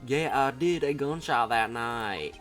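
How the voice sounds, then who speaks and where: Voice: mid-range voice, lively intonation, takes it slowly, fairly bright tone, faint music behind. A streamer as a frantic young male witness. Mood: frantic, earnest.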